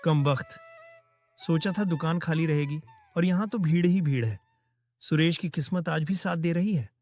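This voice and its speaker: A man's voice: fairly high pitch, normal speed, darkish tone, natural voice. A man playing a resentful, scheming village man in a cartoon moral story, muttering and insinuating with false politeness. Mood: bitter. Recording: music behind the voice. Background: prominent music.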